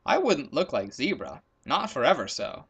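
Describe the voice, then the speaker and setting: Man voicing an excitable storybook leopard, wildly swooping pitch. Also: moderate pace, slightly rough, full character voice. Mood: indignant. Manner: indignant, exclamatory. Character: excitable, sulky, bantering male leopard. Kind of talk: storybook character voice in a read-aloud.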